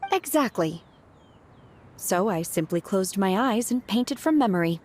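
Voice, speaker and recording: A woman voicing a calm, reserved young disciple in a game, who speaks in a composed, plain way. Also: medium pitch, unhurried, a little hiss or hum, close to an everyday voice. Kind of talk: game voice acting.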